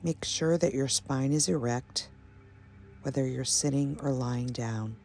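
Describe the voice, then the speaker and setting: A woman guiding a meditation in a soothing, measured manner, pausing between short phrases. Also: extremely low pitch, slight background noise. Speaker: meditation guide. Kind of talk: guided meditation, slow and soothing. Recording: soft binaural music bed. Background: ambient binaural meditation music.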